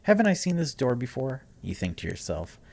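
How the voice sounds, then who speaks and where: A man's voice: mid-range voice, wildly swooping pitch, brisk, vocal fry, a little mellow. A man hosting a gaming video, reading story text aloud dramatically and breaking off for casual, wry asides.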